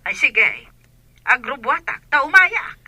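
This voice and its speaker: A mother played by a woman on the telephone in a radio drama. Her voice is piercingly bright and very animated, with a filtered, dry sound. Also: sits high, takes it slowly, no room sound, heavily acted. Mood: concerned. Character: mother answering her grown son's phone call. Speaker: voice actor in an audio drama. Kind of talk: dramatic phone-call dialogue.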